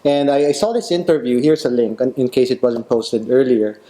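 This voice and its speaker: Homme animateur à la voix douce. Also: male voice, medium pitch, fairly quick, warm tone. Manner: leads the talk, asks follow-up questions. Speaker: podcast host. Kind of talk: casual panel discussion.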